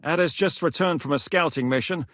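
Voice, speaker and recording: A man's voice: fairly high pitch, a bit bright, no room sound, clean studio sound, close to an everyday voice. A man voicing a shrewd, commanding medieval Czech mercenary lord in a video game, speaking with blunt authority. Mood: brisk, planning. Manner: authoritative, blunt, swings from measured to barking. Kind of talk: dramatic video game dialogue.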